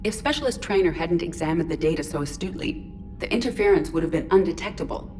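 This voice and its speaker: Low, composed ship AI voiced by a woman. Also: fast, darkish tone, a little hiss or hum, close to an everyday voice. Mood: informative. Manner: precise, formal. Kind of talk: dramatic video game acting.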